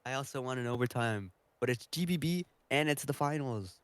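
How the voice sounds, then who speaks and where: A man's voice: medium pitch, expressive, fairly quick, smooth, no room sound. A man on a podcast panel, talking casually. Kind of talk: casual chat.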